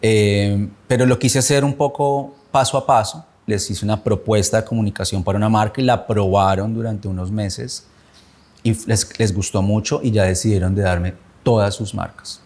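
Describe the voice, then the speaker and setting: A man in his thirties from Bogotá with a Colombian accent, giving a reflective personal testimony, measured and often searching for words. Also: on the low side, steady tone, darkish tone.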